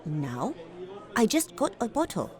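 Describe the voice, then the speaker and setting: A woman's voice: medium pitch, huge pitch swings, unhurried, a little mellow. A woman playing a poised, imperious lady in a noir audio drama, speaking formally with cool, clipped precision. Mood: composed, insistent. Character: poised, imperious, wealthy daughter of a doctor.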